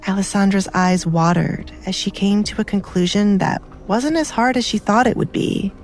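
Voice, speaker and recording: A woman's voice: medium pitch, normal speed, darkish tone, soft background music. A woman reading a scripted dramatic story as an audiobook narrator.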